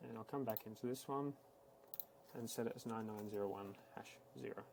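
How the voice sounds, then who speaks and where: A man giving a casual, matter-of-fact, step-by-step software walkthrough, speaking unscripted like a tech support instructor. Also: lowish voice, fairly quick, creaky, slight background noise. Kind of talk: screen-recorded software tutorial, unscripted walkthrough.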